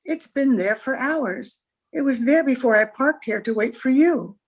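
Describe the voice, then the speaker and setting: A wistful, troubled wife played by a woman in a vintage radio detective drama. The voice is high, with an earnest, plaintive delivery. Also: animated, measured pace, slightly rough, full character voice. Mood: anxious, puzzled. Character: wistful, anxious married woman with a secret. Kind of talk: old-time radio drama acting.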